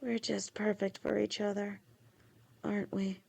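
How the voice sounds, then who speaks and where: A devoted serpent-tailed fiancée, voiced by a woman, talks softly and reassuringly in an audio roleplay. Her voice sits at an ordinary middle pitch, affectionate and steady, protective of the one she loves. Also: measured pace, vocal fry. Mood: tender. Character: loving female lamia fiancée, protective and reassuring. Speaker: voice actor.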